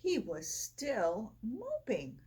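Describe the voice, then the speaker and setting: A woman reading a children's picture book aloud in an expressive storytelling style. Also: on the high side, very slow, breathy edge. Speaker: storybook reader.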